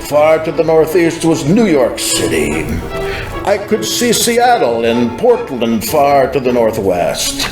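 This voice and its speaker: A man giving a solemn, dramatic first-person scripted narration of a religious vision. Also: mid-range voice. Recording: echoing, clear music behind. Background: music.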